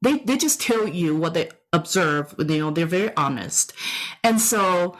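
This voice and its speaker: Middle-aged Taiwanese-accented woman, slightly low voice. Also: expressive, unhurried, poor audio. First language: Chinese. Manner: emphatic, repeats phrases for effect. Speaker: storyteller giving a personal talk. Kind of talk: personal anecdotal storytelling.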